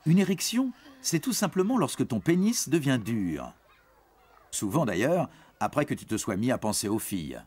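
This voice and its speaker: Lively male narrator. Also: medium pitch, moderate pace, a little hiss or hum. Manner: playful storytelling, addressing a young listener directly. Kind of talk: scripted educational cartoon narration. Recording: music under the voice. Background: background music.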